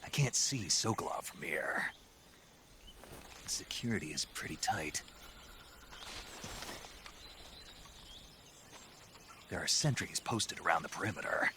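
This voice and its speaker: Gruff, low-voiced soldier. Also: male voice, normal speed, a little hiss or hum. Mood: terse, guarded. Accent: American. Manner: terse, deadpan. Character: gruff, stoic American special forces agent. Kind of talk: dramatic video game acting.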